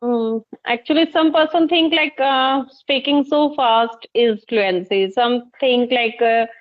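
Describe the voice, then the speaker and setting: Indian woman, slightly high voice, muffled sound. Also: slow. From Haryana, northern India. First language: Hindi. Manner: hesitant, many uh fillers. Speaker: teacher and channel host. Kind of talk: casual conversation practice.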